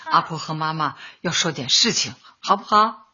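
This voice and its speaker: Husky, steady older community leader. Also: female voice, sits low, normal speed, full character voice. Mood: kindly. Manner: consoling, persuasive. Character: steady, kindly older female community Party secretary. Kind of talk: radio drama acting. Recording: dull lo-fi, music behind.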